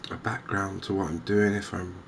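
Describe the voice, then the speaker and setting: Softly spoken man, low and creaky. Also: level tone, a little hiss or hum. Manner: hesitant, unhurried, gentle. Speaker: host of a cozy gaming channel. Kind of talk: softly spoken ASMR game commentary.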